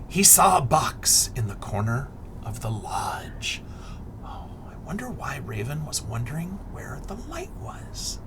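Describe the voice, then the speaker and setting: A middle-aged man reading a picture book aloud expressively to children, deliberate and dramatic, often dropping into a hush. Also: mid-range voice, slight background noise. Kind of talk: expressive children's read-aloud with asides and questions.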